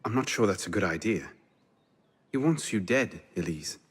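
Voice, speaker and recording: An actor playing an earnest, loyal young assassin in a dramatic video game, speaking in an understated way. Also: male voice, lowish voice, lively intonation, normal speed, husky. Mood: guarded. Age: young adult.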